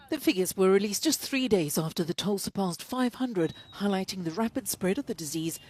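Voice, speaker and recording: A woman reading world news as a presenter, even and formal. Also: medium pitch.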